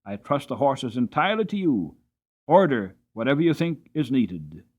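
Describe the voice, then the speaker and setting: A man playing a firm gentleman master. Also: medium pitch, very animated, normal speed, lo-fi sound. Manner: firm and urgent in command. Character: commanding, caring gentleman horse owner.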